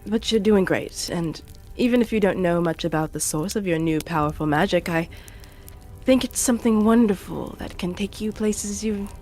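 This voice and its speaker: Woman as a gentle, halting male companion. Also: mid-range voice, faint music behind, lightly acted. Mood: warm, encouraging. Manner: hesitant, earnest, stumbling over words.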